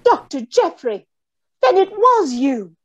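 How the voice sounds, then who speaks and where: A woman's voice: very high voice, sing-song, slow, highs cut off, full character voice. A woman playing a frightened, earnest young lady in an old-time radio mystery, with a breathless, hesitant manner. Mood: shocked. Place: indoor room on a video call.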